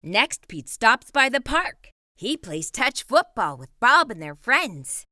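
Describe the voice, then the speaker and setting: Storytime reader, woman, bright and animated, fairly high. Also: slow pace, dry recording, clean studio sound. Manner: lively, expressive reading. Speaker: children's book reader. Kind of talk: read-aloud storytelling.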